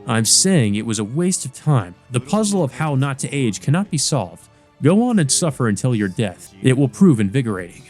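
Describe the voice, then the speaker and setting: A man's voice: medium pitch, sing-song, normal speed, darkish tone, close to an everyday voice. A haughty, scholarly vampire villain from a visual novel, acted out by a man, speaks in a mid-range voice. His manner is formal, cold and contemptuous, with music behind him. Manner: formal, disdainful.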